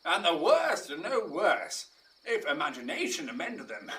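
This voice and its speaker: A man's voice: a little high, wildly swooping pitch, unhurried, bright, forceful tone, distant mic, full character voice. A man playing a jovial, witty Athenian duke who mocks amateur players in theatrical Shakespearean style. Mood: amused. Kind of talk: Shakespearean stage acting.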